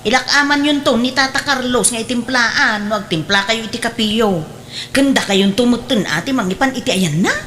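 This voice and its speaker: A woman's voice: fairly high pitch, moderate pace, a bit bright, slight background noise, lightly acted. A woman playing a cheerful, cheeky young housemaid in an Ilocano radio drama. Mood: insistent.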